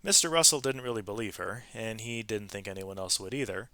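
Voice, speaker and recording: A man reading a storybook aloud to students in an expressive, emphatic way, with brief explanatory asides to his listeners. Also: on the low side, a little fast, dry recording. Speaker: read-aloud narrator, teacher reading to students.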